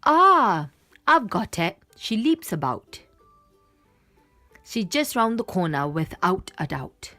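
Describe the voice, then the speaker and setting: A woman's voice: medium pitch, sing-song, slow, long pauses, bright, forceful tone, faint music behind, heavily acted. A woman voicing a helpful but muddled butterfly in a children's picture book, eagerly coaxing and confident as a guide.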